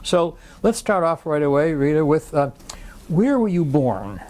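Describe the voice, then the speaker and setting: A man conducting an oral history interview, asking questions gently and offering prompts. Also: a little high, sing-song, a little slow, slight background noise.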